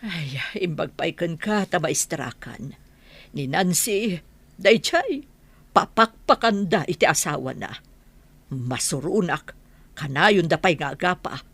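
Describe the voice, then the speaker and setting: Motherly older village gossip, female actor, lowish voice, Ilocano accent, radio drama. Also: expressive, slow, a bit bright, breathy edge, dry recording, heavily acted. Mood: affectionate.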